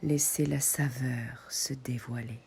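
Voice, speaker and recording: Slow, soft woman guiding meditation. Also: extremely low pitch, steady tone, background noise. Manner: soft breathy delivery, often near a whisper, short phrases with long pauses. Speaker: yoga nidra meditation guide. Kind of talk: guided meditation.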